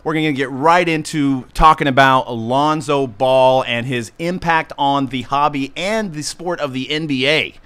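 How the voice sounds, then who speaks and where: A man's voice: mid-range voice, normal speed, few pauses, fairly bright tone, smooth. A man hosting a casual live talk show, upbeat and loose, laughing often. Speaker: host.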